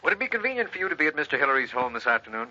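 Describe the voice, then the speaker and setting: Brisk, self-assured prosecutor, piercing bright voice, quick delivery, telephone filter, vintage radio mystery. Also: male voice, a little high, sing-song, full character voice. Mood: businesslike. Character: brisk, confident district attorney on the telephone. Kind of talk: old-time radio detective drama acting. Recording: thin phone line, old radio recording.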